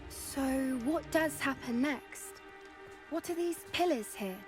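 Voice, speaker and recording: An eager teenage girl witch from a video game. Her voice is high and earnest, full of youthful wonder. Quiet music plays underneath. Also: lively intonation, takes it slowly. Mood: hopeful, curious. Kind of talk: video game cutscene dialogue.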